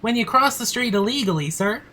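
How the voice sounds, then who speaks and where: A woman's voice: a little high, expressive, fairly quick, pushed and bright. A woman playing a sensible, exasperated crossing guard in a comic audio play, with dry, sarcastic delivery. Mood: polite.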